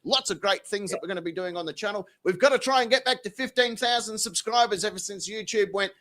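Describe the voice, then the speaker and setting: A man's voice: sits high, quick pace, pushed and bright. A man hosting a studio talk show, swearing freely and laughing often between showy announcer-style introductions. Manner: boisterous, profane, laughs often. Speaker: host. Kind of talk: crude comedic banter and showy introductions.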